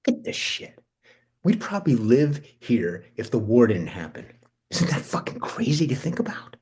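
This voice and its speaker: Male actor as amped, impulsive American guy, very raspy and lively, casual and sweary, film drama read. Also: mid-range voice, moderate pace. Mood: musing, excited. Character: Benji, amped, impulsive, foul-mouthed American male cousin. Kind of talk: screenplay table read.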